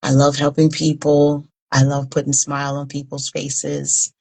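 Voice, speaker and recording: A woman in her fifties from Liberia giving earnest, deliberate interview answers, pausing between phrases. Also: low voice, completely flat delivery, vocal fry, dark tone. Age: 50s. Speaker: interview guest, humanitarian founder.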